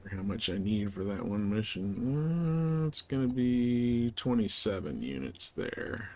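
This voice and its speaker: A man's voice: fairly low pitch, big pitch swings, unhurried. A man giving casual live game commentary, rambling and thinking aloud as he plays. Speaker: game streamer.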